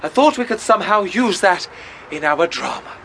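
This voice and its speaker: A man's voice: high-pitched, lively intonation, moderate pace, vocal fry, sharp tone, slight background noise, exaggerated voice. An actor playing a pompous, self-important amateur theatre director in a comic radio drama, grandly enunciating his words. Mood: grandiose.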